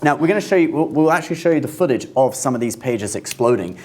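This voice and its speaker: A man's voice: mid-range voice, expressive, fast talker, heavy background noise. A man co-hosting a news discussion show in an informal, conversational and explanatory way. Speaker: show co-host.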